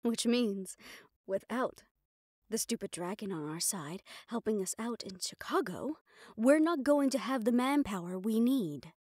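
A woman's voice: on the high side, animated, a little slow, darkish tone, no echo, studio quality. A woman playing a stressed, foul-mouthed, fiercely loyal mafia assistant in an audio roleplay, exasperated and clipped. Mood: angry, threatening. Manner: exasperated, clipped, heavy swearing, sighs. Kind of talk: dramatic audio roleplay, close solo performance.

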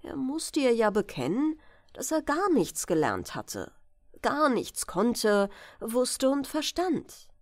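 Female audiobook narrator for bedtime fairytales, unhurried, animated melody, close dry sound. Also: a little high, dry recording. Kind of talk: expressive bedtime fairytale reading.

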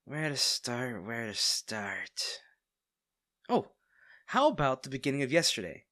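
A smug villain man, acted by a man in an intimate audio drama. He has a mid-pitched voice and lingers over coy, teasing pauses, hiding his fondness. The sound is dry and close. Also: a bit bright, no room sound. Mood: casual, coy. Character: smug, teasing villain man, secretly fond of captive hero. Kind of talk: intimate scripted audio roleplay.

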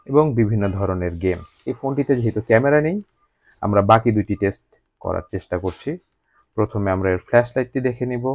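A man's voice: on the low side, a little mellow, dry recording. A man with a Bangladeshi accent narrating a scripted product unboxing review as the channel's host.

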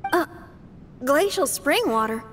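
A woman's voice: sits high, animated, a little slow, in a large hall. A woman playing a plucky, friendly young female rock musician in a video game. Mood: concerned. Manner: casual, plucky. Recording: game audio with faint background noise.

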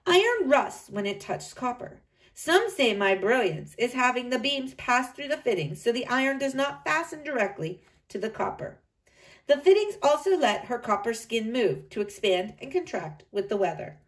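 A woman voicing a confident, inventive male engineer in a read-aloud storybook, in a calm, measured reading. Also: on the high side, distant mic.

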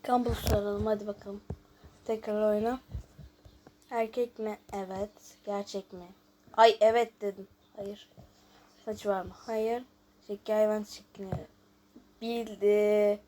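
A woman's voice: mid-range voice, little pitch movement, takes it slowly, long pauses, dark tone. A woman hosting a casual gameplay video, chatting and thinking aloud as she reads out questions and answers them. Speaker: YouTube host.

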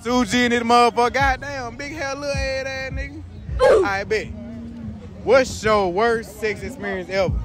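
A young man hosting a raunchy street interview at a college homecoming, with loud, joking, slangy banter. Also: very high voice, moderate pace. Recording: outdoor street recording, music and crowd. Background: loud music and a crowd.

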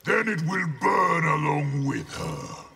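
An actor playing a belligerent villain warlord in a video game, with a harsh gravelly voice and scornful delivery. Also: male voice, on the high side, lively intonation, slow pace, exaggerated voice. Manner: scornful, forceful. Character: contemptuous, belligerent male villain warlord general. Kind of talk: video game character acting.

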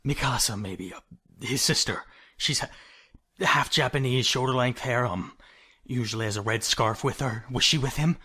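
A man playing a weary, desperate captive doctor and worried father in an audio drama, urgent and halting. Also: medium pitch, bright, forceful tone, husky.

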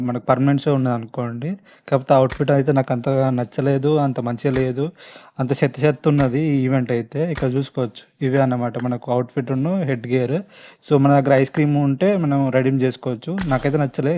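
A man's voice: medium pitch, dark tone. A man with a Telangana Telugu accent giving casual, chatty gameplay commentary to his viewers, speaking informally and directly. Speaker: gaming video host. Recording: faint background noise, clicking sounds.

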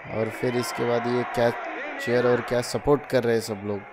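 A man's voice: medium pitch, flat delivery, moderate pace, a crowd in the background, noisy background. A young man narrating his daily vlog in a chatty voice-over, often addressing viewers as friends. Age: young adult. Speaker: vlogger.

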